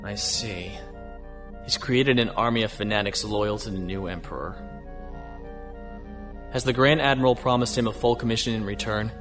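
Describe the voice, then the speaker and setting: An actor playing a calm, thoughtful male starship captain, measured and understated, in a sci-fi audio drama. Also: on the low side, faint music behind, close to an everyday voice.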